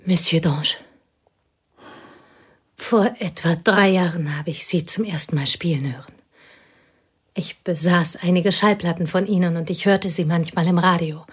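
An actress playing a plain, devoted woman who confesses intimately to a pianist in a radio play, her voice husky and often whispered. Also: lowish voice. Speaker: confiding companion.

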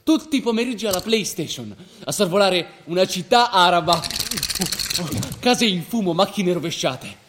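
A man's voice: high voice, lively intonation, normal speed. A man acting the part of a restless, idealistic, rebellious young man in a theatrical radio drama. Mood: animated storytelling. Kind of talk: theatrical radio drama, dialogue and first-person narration. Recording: roomy stage sound with faint noise.

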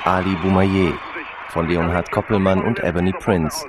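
A man's voice: deep voice, steady tone, moderate pace, a loud crowd. A man reading scripted closing credits as a radio announcer.

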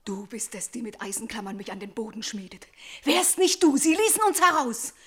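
An actress playing a fearful, trapped young princess who longs for life, pleading breathlessly in a classical radio tragedy. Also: female voice, a little high, sing-song, fairly quick, a bit bright, husky, full character voice. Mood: desperate pleading. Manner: pleading, breathless, fearful, rising into desperate outcry. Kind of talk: classical German stage-tragedy radio acting.

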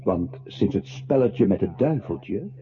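An actor playing a scornful narrator in a radio drama, sardonic and theatrical, with a very raspy voice. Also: male voice, low voice, lively intonation, slow pace, mellow. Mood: mocking. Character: mocking, scornful male narrator belittling a pitiful man. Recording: muffled phone-like old radio recording.

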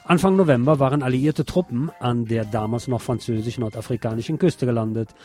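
A man's voice: mid-range voice, fairly quick, mellow, soft background music. A man narrating a scripted documentary.

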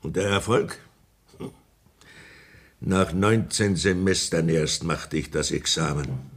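A man's voice: low voice, slow pace. A man playing a pompous old country doctor who lectures theatrically in a 1960s radio play. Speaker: Sanitätsrat.

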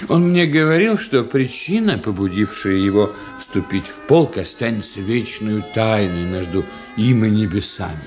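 Actor as animated, witty sardonic gentleman confidant. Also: fairly low pitch, moderate pace, hardly any pauses, close to an everyday voice. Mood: wry, amused. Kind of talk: theatrical radio play dialogue. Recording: radio play with faint music behind.

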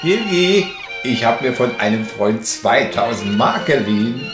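A man's voice: mid-range voice, sing-song, measured pace, music in the background. A man playing an easygoing but pressing lover in a radio drama, speaking persuasively. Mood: coaxing. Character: insistent, easygoing male lover.

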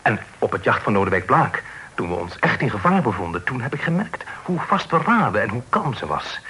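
An actor playing an earnest, deferential young son in love in a period radio drama, hesitant, polite and formal. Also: male voice, mid-range voice, bright, forceful tone. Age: young adult. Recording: noisy old radio play recording.

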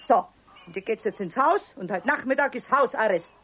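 A strict farmer's wife from a Bavarian radio play. Her voice is a little high and she speaks briskly, with a faint hiss in the background. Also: lively intonation, fairly bright tone. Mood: scolding. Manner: brisk, scolding.

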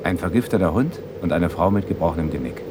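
A younger detective for a radio crime drama. The voice is extremely low with animated pitch movement, and he speaks in a matter-of-fact, slightly grumbling way. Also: fast, noisy background. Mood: brisk, businesslike. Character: younger police brigadier, bachelor detective, grumbling.

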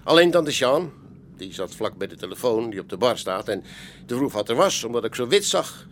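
An actor playing a chatty, unbothered Amsterdam café owner in a radio play, colloquial and knowing, with hesitant asides. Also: male voice, mid-range voice, sing-song, moderate pace, a little hiss or hum, natural voice. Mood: explaining. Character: male Amsterdam pub landlord, streetwise, chatty, unbothered. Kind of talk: Dutch radio play acting.

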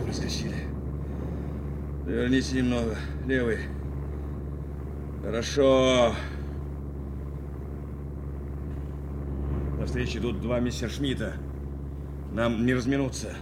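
An actor playing an anxious, dutiful bomber navigator in a radio play, with earnest, intense delivery. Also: male voice, medium pitch, takes it slowly, pauses often, background noise, close to an everyday voice. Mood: tense. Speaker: actor in an audio drama. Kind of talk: Soviet radio play acting, dramatic narration and dialogue.

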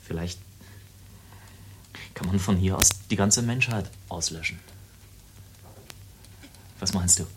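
Military computer operator, noisy recording. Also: male voice, low voice, slow pace, long pauses. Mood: quietly brooding. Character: male military radar operator, casually showing off doomsday machinery. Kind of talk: German radio play dialogue.

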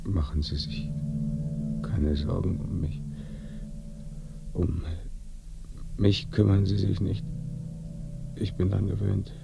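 Weary, creaky-voiced traveler. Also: male voice, sits low, fairly even intonation, loud music behind the voice, exaggerated voice. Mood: weary, questioning. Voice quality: dark, creaky. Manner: halting, exhausted. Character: weary, shaken male traveler searching for his father. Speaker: actor in radio drama. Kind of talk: intimate radio play acting. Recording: faint noise, binaural radio recording.

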